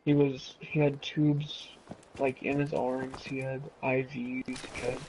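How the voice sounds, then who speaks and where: Young man rambling casually over clear background noise. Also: mid-range voice, level tone, slow pace, a little mellow, poor audio. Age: around 20. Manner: sweary, digressive. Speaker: gaming streamer. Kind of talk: casual rambling storytelling while gaming.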